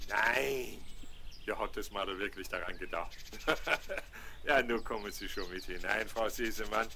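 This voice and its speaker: A man acting a kindly, gruff old Alpine grandfather in a 1970s German children's radio play, gravelly and hearty. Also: lowish voice, measured pace, pushed and bright. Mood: hearty, welcoming. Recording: noisy 1970s vinyl rip with clicks.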